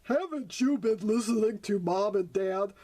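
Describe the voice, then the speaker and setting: A woman's voice: medium pitch, animated, measured pace, warm tone. A woman voicing a worried old grandfather frog who scolds firmly in a storybook. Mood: stern, worried. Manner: scolding, firm.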